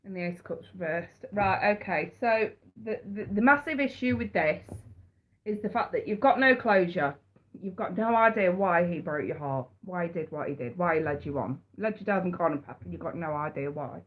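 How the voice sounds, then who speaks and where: A woman runs a casual live tarot stream, stuffy and congested from a cold. Her voice sits slightly low for a woman. She chats warmly to her viewers, repeats herself as she goes and calls them sweetheart. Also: lively intonation, moderate pace. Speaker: tarot reader. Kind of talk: live-stream chat and reading.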